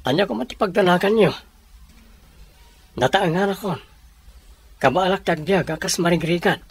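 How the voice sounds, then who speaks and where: A man playing a disillusioned rich young bachelor, husky and earnest, with a Filipino accent, in radio drama style. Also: high voice, normal speed, no room sound. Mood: earnest, resolute. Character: disillusioned rich young bachelor son seeking true love.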